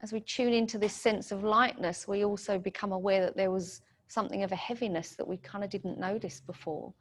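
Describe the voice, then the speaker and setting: A woman leads a guided meditation, giving soothing, gentle instructions in short phrases. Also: medium pitch, steady tone, fairly quick.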